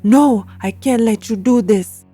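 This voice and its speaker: A woman's voice: on the high side, unhurried, dark tone, slightly rough, soft background music, pitched above the performer's own voice. A woman voicing a guilt-ridden male king who stammers and pleads in an audio drama. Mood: pleading. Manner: hesitant, pleading.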